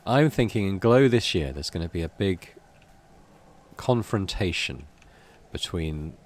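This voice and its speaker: A man's voice: deep voice, expressive, unhurried. A man doing casual game commentary, thinking aloud in a hesitant, rambling way. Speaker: video game let's player.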